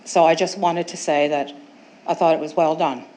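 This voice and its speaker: A woman's voice: sits low, steady tone, normal speed, warm tone, low-quality recording. A woman resident giving a chatty, appreciative public comment at a town council meeting, rambling a little with fillers. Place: council chamber.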